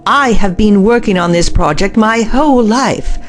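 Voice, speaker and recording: An actress playing a defensive, irritated lead scientist in an audio drama, impatient and protesting. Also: female voice, medium pitch, normal speed, soft background music, lightly acted.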